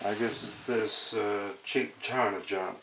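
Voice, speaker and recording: Slow-talking man, low voice, thinking aloud. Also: steady tone. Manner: folksy, hesitant, thinking aloud. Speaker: hobbyist demonstrating an electronics repair. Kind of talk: casual unscripted repair narration. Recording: noticeable background noise with clicks.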